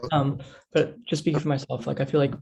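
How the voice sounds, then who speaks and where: A man speaking casually on a panel over a video call, with a husky, creaky voice and a hesitant, thoughtful manner. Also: mid-range voice, little pitch movement, fast talker, music in the background. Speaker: poet on a reading panel.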